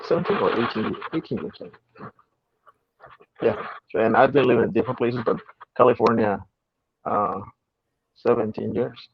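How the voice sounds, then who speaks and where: A soft-spoken man from Mexico City with a Mexican Spanish accent, a native Spanish speaker answering hesitantly with fillers over a video call. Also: medium pitch, normal speed, vocal fry, long pauses, breathy edge, dry recording. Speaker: guest illustrator. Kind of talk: interview answers. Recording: muffled, dry video call audio.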